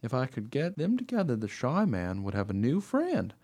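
Radio play dog narrator, male actor: earnest, naive, eager, swooping intonation, soft-toned voice. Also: mid-range voice, wildly swooping pitch, moderate pace. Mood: earnest, guileless. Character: eager, naive, trusting young dog telling his story.

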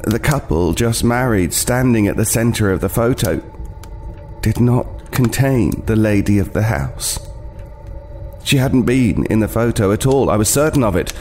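A man's voice: fairly low pitch, lively intonation, measured pace, a little mellow, a little hiss or hum. An actor playing an uneasy homeless man recounting a frightening tale in an audio drama, hesitant and brooding, stumbling over words. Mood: uneasy, haunted. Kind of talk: audio drama first-person horror narration.

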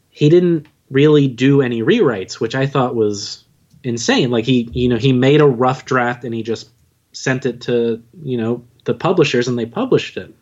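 A man's voice: medium pitch, darkish tone, dull audio. A man chatting casually as a podcast guest, with a joking, self-deprecating manner. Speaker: podcast guest, audio drama writer and narrator. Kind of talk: casual interview chat.

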